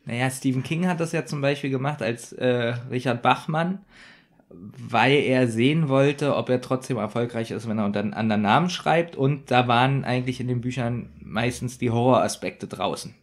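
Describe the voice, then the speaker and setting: A man's voice: medium pitch, moderate pace. A man co-hosting a podcast, talking in a casual, conversational way. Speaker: podcast co-host.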